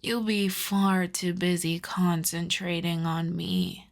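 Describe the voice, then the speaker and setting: A woman voicing a lovesick, possessive female vampire in an intimate ASMR roleplay, hushed and often slipping into whispers. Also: lowish voice, flat delivery, takes it slowly. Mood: resigned, coaxing. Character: lovesick female vampire, sorrowful, pleading, possessive, tenderly menacing.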